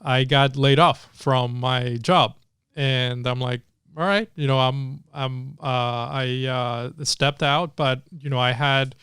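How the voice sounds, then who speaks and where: A man's voice: mid-range voice, fairly even intonation, slow, fairly bright tone, clear, resonant tone, dry recording, studio quality. A man in his forties from Venezuela, a tech entrepreneur telling his story in a casual interview, hesitant with many fillers and restarts.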